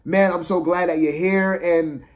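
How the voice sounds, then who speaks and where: Higher-voiced man venting in a casual review. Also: steady tone, measured pace. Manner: emphatic, repeats phrases for emphasis; slangy, exasperated complaining. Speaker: amateur movie reviewer. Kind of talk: casual opinionated film review vlog.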